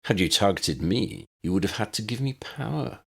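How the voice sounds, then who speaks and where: A man voicing an erudite, unflappable old wizard in a fantasy audiobook, measured and pedantic with a needling edge. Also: fairly low pitch, lively intonation, creaky, a bit bright, husky, pitched above the performer's own voice, heavily acted. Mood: calm, needling. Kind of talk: fan-fiction audiobook character voice.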